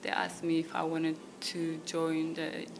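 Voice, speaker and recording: A young woman from Ethiopia who grew up in the Netherlands, answering interview questions at home in a casual, halting way with many fillers. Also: deep voice, monotone, gravelly, background noise. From Ethiopia, raised in the Netherlands. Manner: halting, lots of fillers and "you know what I mean". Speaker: model, guest. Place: apartment at home.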